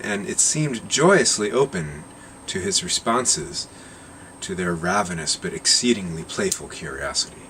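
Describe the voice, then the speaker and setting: A man reading a science fiction short story aloud in a measured, deliberate way. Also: mid-range voice, fairly bright tone, a little hiss or hum, poor audio. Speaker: narrator reading his own short story.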